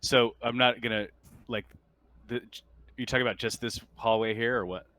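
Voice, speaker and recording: A man's voice: medium pitch, steady tone, moderate pace, sharp tone, no room sound. A man acting as game master in a tabletop roleplaying session, explaining things in a matter-of-fact way.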